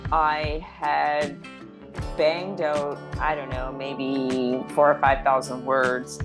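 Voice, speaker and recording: A middle-aged woman chatting casually as a guest, speaking in a hesitant, halting way. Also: very deep voice. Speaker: guest author. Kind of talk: casual chat, reminiscing.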